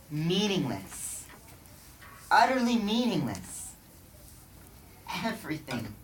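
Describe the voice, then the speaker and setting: A young man playing a weary, broken teacher-king in a theatrical biblical monologue, declaiming with dramatic pauses and emphatic repetition. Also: fairly high pitch, fairly bright tone, exaggerated voice. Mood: grave, despairing. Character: weary, broken old teacher-king lamenting life's emptiness. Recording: distant mic, faint background noise.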